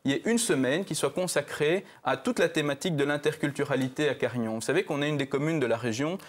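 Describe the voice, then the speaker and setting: A man's voice: a little high, fast. A local election candidate in a televised debate who speaks earnestly and often restarts his phrases. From Italy. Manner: earnest, hesitant with repeated restarts.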